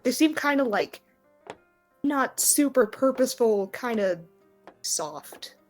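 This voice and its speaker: A woman's voice: a little high, expressive, takes it slowly, soft background music. A woman narrating a tabletop game as game master, improvising in informal, hesitant phrasing.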